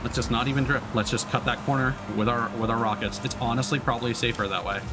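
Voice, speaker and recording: A man's voice: mid-range voice, fairly even intonation, fast talker. Man giving casual gaming commentary over music. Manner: informal, sweary, self-critical. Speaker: streamer, VTuber.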